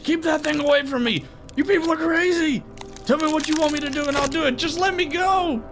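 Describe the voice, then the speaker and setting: A man playing a wary, gravelly crook selling meat in a horror comedy audio drama. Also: very high-pitched, a little fast, background noise. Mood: frantic. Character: wary gangster meat dealer Benny, older brother's sidekick.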